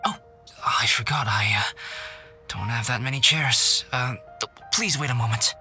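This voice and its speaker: Calm, scholarly young alchemist. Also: male voice, mid-range voice, moderate pace, soft background music. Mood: polite. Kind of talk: anime video game voice acting.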